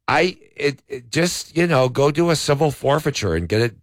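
A man's voice: fairly high pitch, expressive, moderate pace, dry recording, clean studio sound. A man, a law professor, giving legal commentary on talk radio, arguing his points with examples. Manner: argumentative, explains with examples. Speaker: law professor, co-host guest.

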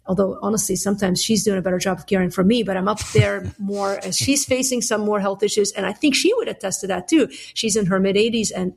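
A woman's voice: mid-range voice, vocal fry, darkish tone, slightly rough. A middle-aged woman from Lebanon answering conversationally as an interview guest, explaining things candidly. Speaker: interview guest, author and Bible teacher.

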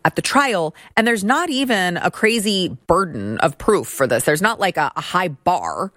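A woman's voice: fairly low pitch, very animated, moderate pace, fairly bright tone, dry recording, clean studio sound. A woman hosting a political commentary show, talking in a sarcastic, mocking way. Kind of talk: opinion commentary monologue.

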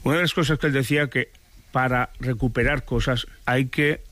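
A man gives his view on a sports panel in a middle-pitched voice. He talks in a measured, halting way and restarts phrases. The sound is dry and close. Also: steady tone, fairly bright tone, no room sound. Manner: measured, hesitant, repeats words while he thinks. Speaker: pundit. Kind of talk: casual sports radio chat.

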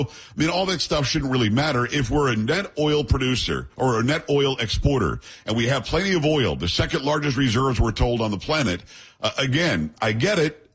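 A talk radio host presses his guest with quick, pushy questions and animated asides. His voice sits at a middle pitch with a husky edge, and he comes across through a radio broadcast. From New York, raised in Florida.

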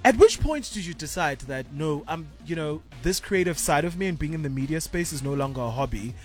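High-pitched upbeat radio host. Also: male voice, lively intonation, fairly quick, soft background music. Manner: upbeat, chatty, teasing. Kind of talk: radio show presenting and interviewing. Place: radio studio.

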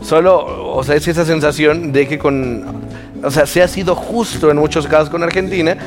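A man's voice: on the high side, fairly even intonation, moderate pace, over music. A man on a studio sports panel who hedges and stalls before making his point. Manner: hesitant, hedging with fillers. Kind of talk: studio sports talk show.

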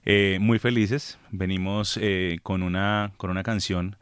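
Low-voiced Colombian man in his thirties. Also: a little slow, smooth, dry recording, clean studio sound. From Villavicencio, Colombia. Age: 30s. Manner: laughs often, relaxed and friendly. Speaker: guest singer. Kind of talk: casual radio interview chat. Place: radio studio.

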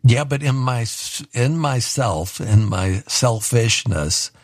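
A man's voice: medium pitch, lively intonation, slow pace, no echo, clean studio sound. A man hosting a radio talk show, talking candidly with his guest and reading a promo. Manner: candid and searching, then smooth promo read.

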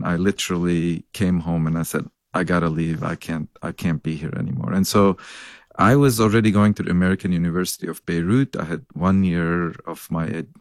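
A man's voice: low-pitched, no room sound, studio quality. A middle-aged man from Lebanon, a scientist and professor, answering interview questions in an earnest, storytelling way with occasional laughs.

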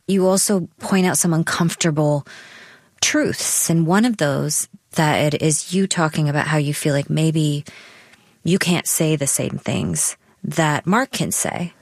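Low-voiced American woman radio host. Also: unhurried, dry recording, clean studio sound. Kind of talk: broadcast news interview and narration.

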